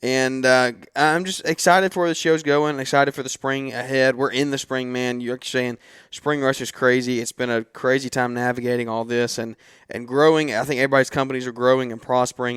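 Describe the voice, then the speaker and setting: A man's voice: medium pitch, fairly bright tone, clean tone, no echo, studio quality. A man hosting a casual interview podcast, speaking in an informal, effusive and encouraging way. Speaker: podcast host.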